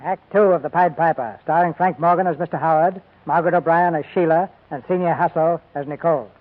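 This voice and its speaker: A man's voice: a little high, steady tone, brisk. A man announcing a 1940s radio drama in solemn, scripted dramatic narration. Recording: tinny 1940s radio recording. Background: music.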